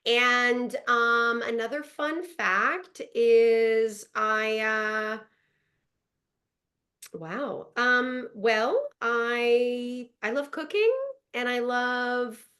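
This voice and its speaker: Bright, chatty actress from Montreal. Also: female voice, fairly high pitch, drawn-out words. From Montreal, Canada. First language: French. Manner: chatty, upbeat, laughs often. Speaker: actress guest. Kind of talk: casual interview chat. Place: home in Los Angeles.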